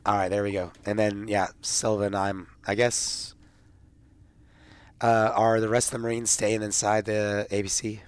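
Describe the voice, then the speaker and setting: A man's voice: on the low side, level tone, normal speed, clean tone, no room sound. A man chatting casually as a player in a tabletop roleplaying game.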